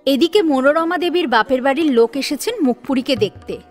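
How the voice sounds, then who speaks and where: A woman narrating a dramatic story in an expressive storytelling manner. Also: high voice, brisk, faint music behind.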